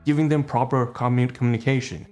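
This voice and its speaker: A man's voice: mid-range voice, fairly even intonation, fast talker, a little mellow, soft background music. A man in his late twenties whose first language is Cantonese, ranting heatedly at home in a personal vlog, swearing often and tagging lines with "all right?" and "okay?". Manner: heated, profane ranting with many rhetorical tags. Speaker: vlogger.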